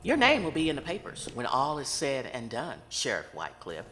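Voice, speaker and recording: An actress playing a defiant, solemn psychic medium in an audio horror drama, speaking with theatrical emphasis. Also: female voice, extremely low pitch, wildly swooping pitch, brisk, fairly bright tone, slight background noise, exaggerated voice. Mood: defiant, stern. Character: Southern woman psychic medium, defiant and solemn, aiding investigators.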